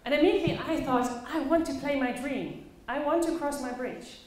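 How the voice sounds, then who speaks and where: A woman from the Netherlands with a Dutch accent, telling personal stories as a conference speaker, expressively and with dramatic pauses. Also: sits high, darkish tone. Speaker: conference speaker, play facilitator. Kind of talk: personal storytelling talk to a live audience. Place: echoey indoor conference room. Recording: very echoey room, faint background noise.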